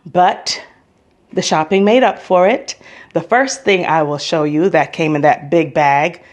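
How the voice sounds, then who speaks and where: A woman's voice: low voice, a little slow, a little mellow. An American woman talking casually in a travel vlog, chatty and opinionated, stressing points by repeating herself. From United States. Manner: chatty, opinionated, emphatic repetition. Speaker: travel vlogger.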